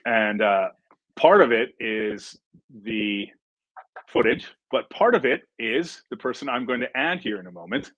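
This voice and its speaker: A man hosting a casual livestream, chatty and relaxed, with frequent fillers. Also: medium pitch, a little slow, dry recording, lo-fi sound. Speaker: film director hosting a livestream.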